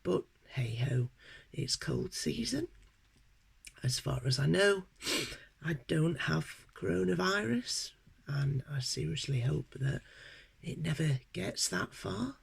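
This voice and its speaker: Croaky British woman with a cold. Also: low voice, lively intonation. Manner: hesitant, many ums and pauses. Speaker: unboxing vlogger. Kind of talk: casual unboxing chat.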